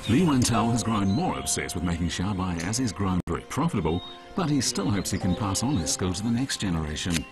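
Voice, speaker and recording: A man reading scripted documentary narration in a measured, even way. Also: low-pitched. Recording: voice-over with music bed.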